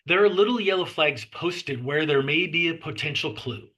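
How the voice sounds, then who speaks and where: A man's voice: on the high side, expressive, normal speed, bright, forceful tone. A man reading screenplay stage directions aloud as scripted narration at a table read.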